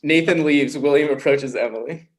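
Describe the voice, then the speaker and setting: A man reading out stage directions at a table read in a plain, even way. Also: fairly high pitch, moderate pace, rough recording.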